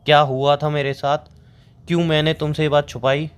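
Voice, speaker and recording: An actor as a wronged young man in a phone-call drama, pleading to be heard. His voice sits a little high, and he defends himself with aggrieved, earnest insistence in casual speech. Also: level tone, moderate pace, smooth, lightly acted. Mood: pleading, insistent.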